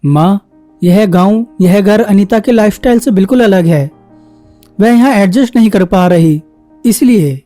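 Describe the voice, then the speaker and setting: Woman voicing an earnest, mellow young husband. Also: medium pitch, a little slow, faint music behind, close to an everyday voice. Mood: explaining. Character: dutiful adult son and husband, young man. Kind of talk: Hindi cartoon story voice acting.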